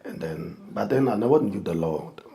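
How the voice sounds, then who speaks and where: Gravelly deep-voiced pastor. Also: male voice, expressive, dark tone. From Liberia. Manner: halting, many restarts and fillers, earnest. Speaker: pastor, guest. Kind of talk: personal testimony in an interview.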